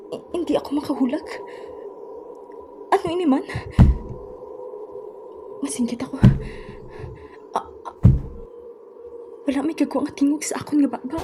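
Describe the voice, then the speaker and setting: High-voiced, frightened young daughter, acted by a woman. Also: measured pace, pauses often, noisy background. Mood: frightened, pleading. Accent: Western Visayan Filipino. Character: terrified, weary daughter alone in a haunted house. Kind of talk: amateur radio horror drama monologue. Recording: echoey room with music bed.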